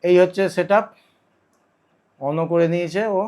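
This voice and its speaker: A man's voice: sits high, measured pace, darkish tone. A man giving a casual hands-on tutorial, explaining step by step and often repeating his phrases. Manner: instructive, repeats phrases while demonstrating. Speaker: vlogger demonstrating product installation.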